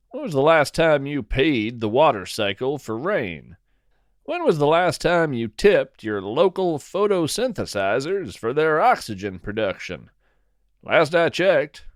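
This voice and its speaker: A man narrating a scripted first-person story as a podcast host, with a deadpan, whimsical and measured delivery. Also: mid-range voice, dry recording, clean studio sound.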